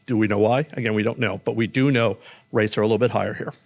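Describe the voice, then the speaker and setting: A man's voice: fairly low pitch, steady tone, fast, vocal fry, highs cut off. A man, an oncologist, giving a casual, plain-spoken public talk with slides in a conference room, speaking into a stage microphone. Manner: casual, plain-spoken, says he has a loud voice.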